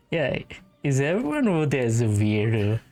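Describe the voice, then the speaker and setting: A woman's voice: a bass voice, sing-song, normal speed, a little mellow. A woman giving casual let's play reactions and reading along as she plays a video game. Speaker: gamer commenting on a playthrough. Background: game music.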